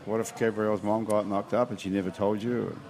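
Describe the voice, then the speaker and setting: A man's voice: fairly low pitch, fairly even intonation, brisk, a crowd in the background, rough recording. A man hosting a casual bar chat, teasing his guests with incredulous questions. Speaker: host. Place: bar during happy hour.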